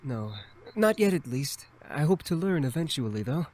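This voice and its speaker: An actor playing a shy, gentle, concerned young man in a video game, with a hesitant, soft-spoken manner. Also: a little high, sing-song, normal speed.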